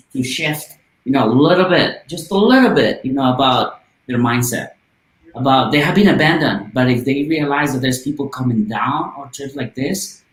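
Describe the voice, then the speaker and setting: A man from Guatemala speaking as a podcast guest, earnest and passionate, with frequent "uh"s. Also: medium pitch. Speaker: guest from a volunteer charity. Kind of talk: casual podcast interview. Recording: roomy, echoey sound.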